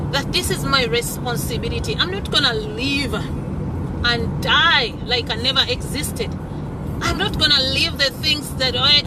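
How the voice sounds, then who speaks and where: A Ugandan woman in her thirties speaks high and earnestly, stumbling over repeated words and dropping into Luganda phrases as she makes her case. She is recorded inside a car, with heavy traffic noise pressing in around her voice. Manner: passionate, repeats words when searching, mixes in Luganda phrases. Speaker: vlogger host. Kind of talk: earnest social commentary monologue. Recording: loud car and road noise.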